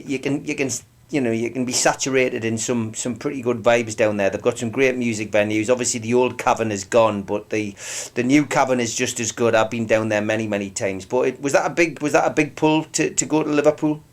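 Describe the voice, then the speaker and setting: A man hosting a casual radio interview, halting and repeating his words often. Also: medium pitch, steady tone. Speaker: radio host.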